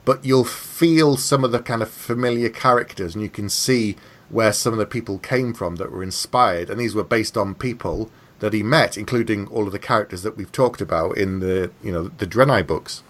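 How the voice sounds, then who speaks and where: A man's voice: lowish voice, normal speed. A man hosting a podcast, talking casually and conversationally with his guest about books. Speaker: podcast host, interviewer. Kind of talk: casual conversational book chat.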